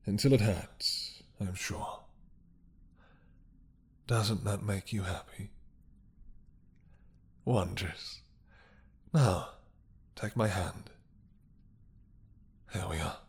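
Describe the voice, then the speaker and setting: A man playing a possessive, doting mad dragon king in a romantic roleplay drama, with a husky, breathy voice that often drops to a whisper and a regal, seductive manner. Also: lowish voice, full character voice. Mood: triumphant, adoring. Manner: slow, regal, seductive purring menace.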